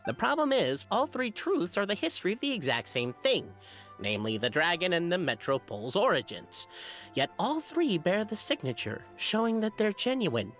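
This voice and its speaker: A woman playing a grandiose, excitable scholar in a video game, wordy and theatrical. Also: lowish voice, moderate pace, faint music behind. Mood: lecturing. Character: scholarly, excitable, grandiose female clan researcher.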